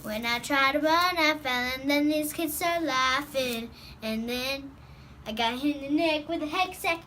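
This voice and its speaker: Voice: high voice, unhurried, pushed and bright, slight background noise. A performer voicing a goofy, chatty cartoon creature in a zany, singsong manner given to wordless whooping. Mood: storytelling.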